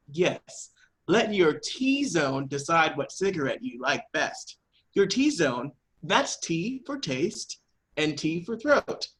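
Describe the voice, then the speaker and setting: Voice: mid-range voice, a bit bright. A performer playing a persuasive 1940s radio advertisement announcer, with emphatic salesmanship. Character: persuasive old-time radio cigarette pitch announcer.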